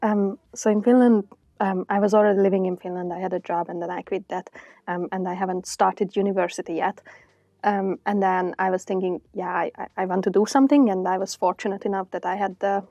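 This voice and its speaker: A woman's voice: mid-range voice, fairly even intonation, brisk, dark tone, no echo, muffled recording. A woman, a student and intern answering with many hesitant ums. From Hungary.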